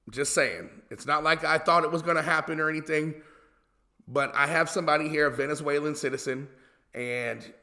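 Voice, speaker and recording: A man's voice: medium pitch, pushed and bright. A man hosting an online show in a chatty, blunt way. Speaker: host. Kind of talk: casual online show talk.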